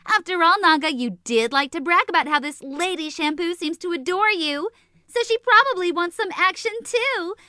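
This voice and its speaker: A woman's voice: very high voice, expressive, normal speed, pushed and bright, dry recording, clean studio sound, full character voice. A woman voicing a bratty young anime sorceress with a mocking, brash manner in a comedic audio drama. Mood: smug teasing.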